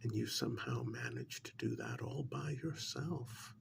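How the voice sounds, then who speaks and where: A man playing a sly, insinuating interrogator in an ASMR role-play, speaking in a slow, deliberate, soft whisper with mock admiration and dramatic pauses. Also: mid-range voice, wildly swooping pitch, background noise, heavily acted. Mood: quiet insinuation. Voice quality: breathy whisper with gravelly creak. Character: sly, insinuating male interrogator needling a mad scientist.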